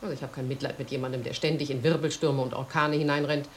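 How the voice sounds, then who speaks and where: Low-voiced, scornful wife. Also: female voice, fairly even intonation. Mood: dismissive. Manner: mocking, dismissive, brisk. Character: sceptical, scornful, impatient wife. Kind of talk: radio play acting.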